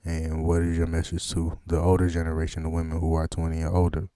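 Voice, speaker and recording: A man's voice: a bass voice, completely flat delivery, fast, mellow. A man hosting a casual interview, talking in slangy, joking banter. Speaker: interviewer and host.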